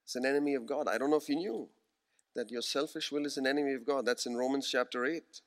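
A man in his forties preaching a sermon, earnest and emphatic, slowing down to repeat key phrases. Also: mid-range voice, fairly even intonation. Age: 40s.

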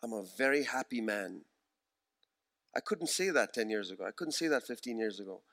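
A man in his forties preaching a sermon, earnest and emphatic, slowing down to repeat key phrases. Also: mid-range voice, expressive, a bit bright. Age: 40s.

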